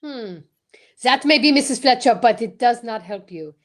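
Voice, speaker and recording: A woman playing a pompous, courteous male detective, formal and deliberate, in old-time radio mystery style. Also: a little high, expressive, natural voice. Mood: grave, accusing. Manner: formal, deliberate, theatrical questioning.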